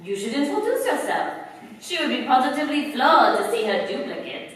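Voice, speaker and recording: A woman's voice: sits high, very animated, brisk. A woman playing a young, chatty, teasing commando in a staged radio play, heard from afar in an echoey hall. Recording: distant, echoey hall stage recording, faint noise.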